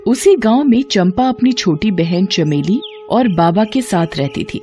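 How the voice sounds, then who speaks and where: A woman narrating a cartoon story in an expressive, lilting storytelling manner. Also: medium pitch, measured pace, soft background music.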